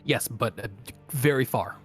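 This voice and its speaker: A man running a tabletop game as game master, narrating the action casually and thinking aloud in halting phrases. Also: medium pitch, slightly rough, soft background music.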